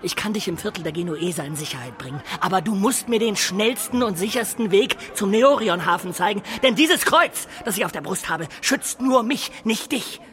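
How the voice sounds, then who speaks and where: High-voiced bold crusader adventurer. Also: male voice, expressive, moderate pace, few pauses, a bit bright. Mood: urgent, practical. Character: bold, breezy crusader adventurer from northern Italy. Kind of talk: German radio play acting.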